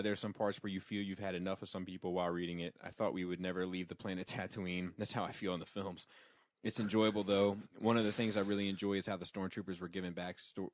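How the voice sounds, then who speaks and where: Male podcast co-host, deep voice, informal and sweary, close dry sound. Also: no room sound. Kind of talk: casual geek-culture podcast chat.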